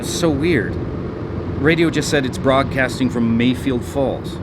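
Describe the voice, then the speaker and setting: A man's voice: medium pitch, measured pace, very noisy, lightly acted. An actor in a radio drama playing a chatty, genial family-man salesman at the wheel, polite and a little hesitant. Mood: puzzled. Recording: live radio play, loud car drone.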